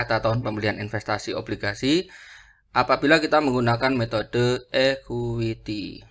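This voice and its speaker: A man's voice: medium pitch, a bit bright. A man lecturing through worked calculations, didactic, often asking a question and then answering it himself. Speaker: accounting lecturer.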